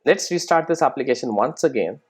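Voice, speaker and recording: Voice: mid-range voice, expressive, fairly quick, slightly rough. A programming instructor giving a casual step-by-step screen-recorded tutorial, often checking in with "right?" and "okay".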